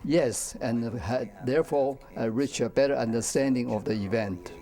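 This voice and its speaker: An older man, speaking haltingly with repeated words and grammar in an interview. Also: mid-range voice, dark tone. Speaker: senior center discussion group leader. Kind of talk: casual interview answers.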